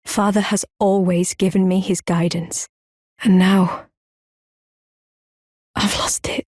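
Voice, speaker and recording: An actress voicing a proud, earnest warrior woman in a video game, speaking in a solemn, measured, formal old-fashioned way. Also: medium pitch, level tone, a little mellow, no echo, studio quality. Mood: wounded, troubled. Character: proud, earnest woman warrior, grown, stoic and honour-bound. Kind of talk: video game character acting, formal archaic speech.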